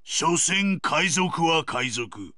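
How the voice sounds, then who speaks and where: A man voicing a stern, menacing enforcer of justice in an anime video game, with a gravelly voice. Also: fairly high pitch, expressive, drawn-out words, bright, forceful tone, dry recording, exaggerated voice.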